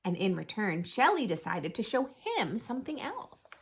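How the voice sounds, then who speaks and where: A woman reading a picture book aloud to young children, expressively, with dramatic pauses and drawn-out emphasis. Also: medium pitch, huge pitch swings, breathy edge. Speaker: children's storybook reader, host. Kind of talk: expressive read-aloud narration for preschoolers.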